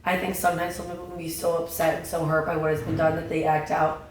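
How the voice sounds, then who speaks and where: A young woman talks through a casual podcast chat, her slightly raspy voice full of fillers and restarts. She keeps a fairly flat, even delivery. The recording is very echoey, with the mic set well back and a faint hiss behind. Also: low voice, fast talker. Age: young adult. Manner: chatty, many fillers and restarts. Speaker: podcast guest. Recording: echoey room, distant mic, faint noise.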